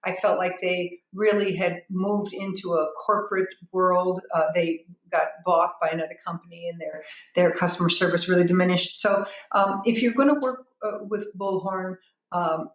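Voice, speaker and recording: Woman, slightly low voice, business-owner testimonial. Halting, unscripted, frank delivery; roomy sound. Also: steady tone. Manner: hesitant, halting, with repeated words. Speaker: business owner giving a software review.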